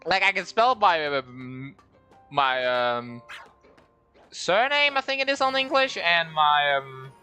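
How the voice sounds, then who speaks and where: A man's voice: fairly high pitch, big pitch swings, slow pace, bright, forceful tone, smooth, soft background music. A man streaming a game, rambling and joking at himself as he talks through it. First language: Danish. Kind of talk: casual game livestream commentary.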